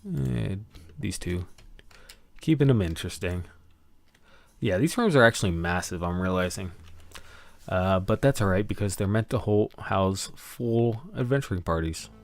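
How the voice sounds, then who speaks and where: A man's voice: low-pitched, normal speed, vocal fry, long pauses. A man streaming his game prep in a casual way, rambling to himself as he works. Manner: rambling self-talk while working. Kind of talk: casual thinking-aloud stream commentary.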